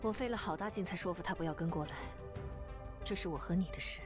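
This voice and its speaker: A woman's voice: lowish voice, quick pace, close to an everyday voice. An actress playing a wary, resolute young woman in a visual novel, earnest and accusing in manner. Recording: background music behind the voice.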